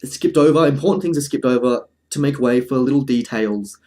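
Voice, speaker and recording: A man's voice: mid-range voice, a little fast, a little mellow. A man hosting a casual video essay, with a dry, jokey manner and sentences he often breaks off and restarts. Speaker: video host.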